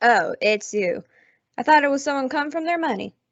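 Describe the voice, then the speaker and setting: A woman's voice: high-pitched, expressive, normal speed, slight background noise. A young woman acting a hard, vengeful old widow in a radio Western, curt and scornful. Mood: scornful, cold. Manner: curt, scornful, rustic grammar. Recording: dull, dry lo-fi recording.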